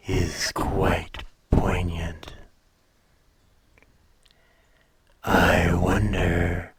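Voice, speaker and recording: A man playing an ancient, proud dragon in a fantasy audio roleplay, speaking formally and deliberately with a calm menace. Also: low voice, noisy background. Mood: brooding, puzzled. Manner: slow, formal, deliberate speech with long pauses. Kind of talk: scripted fantasy audio roleplay, addressed to listener.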